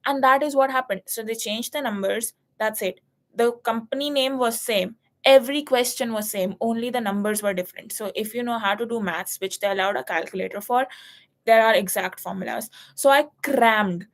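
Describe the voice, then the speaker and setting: A young woman from India in her twenties tells a story in a casual chat, animated and a little crude. Her voice sits on the high side for a woman. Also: moderate pace. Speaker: student.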